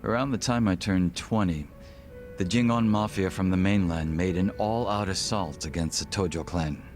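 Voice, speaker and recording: An actor playing a suave, guarded gang second-in-command in his thirties for a video game, speaking in a formal, measured, wry way. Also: low voice, faint music behind, lightly acted. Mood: grave, reflective. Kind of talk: English video game voice acting.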